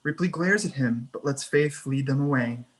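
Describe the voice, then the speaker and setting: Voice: mid-range voice, moderate pace. A narrator reading scene headings and action lines at a screenplay table read. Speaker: narrator reading stage directions.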